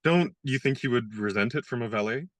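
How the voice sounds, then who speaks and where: An actor in a radio play playing a young gentleman disguised as a valet, speaking in a dry, good-humored, polite way. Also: medium pitch, brisk, no room sound, lightly acted. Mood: wry.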